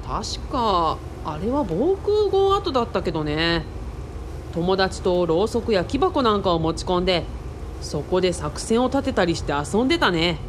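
A woman playing a boyish, excitable young man in a radio drama, speaking casually and exclaiming often. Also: medium pitch, moderate pace, slight background noise, natural voice. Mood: casual, nostalgic. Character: boyish, excitable young male sidekick, Kaoru.

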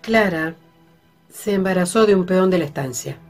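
A woman's voice: on the low side, normal speed, a little mellow, faint music behind, lightly acted. An actress playing a composed, discreet lady of a ranch-owning family in a radio drama, with a Rioplatense accent. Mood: persuasive. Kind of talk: radio soap opera acting.

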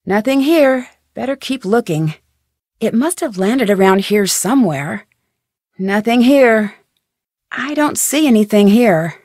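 A woman playing a young female amateur detective in a video game, curious, upbeat and sensible, speaking in a clearly enunciated, conversational way. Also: fairly high pitch, animated, measured pace, a little mellow, no room sound, clean studio sound. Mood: curious, musing. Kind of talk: video game voice acting, scripted lines and letter narration.

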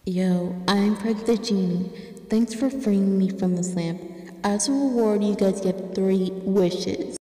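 A woman voicing a smug, deadpan young male genie with a flat, dry delivery in an amateur cartoon comic dub. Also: mid-range voice, slow pace, in a large hall, voice pushed down.

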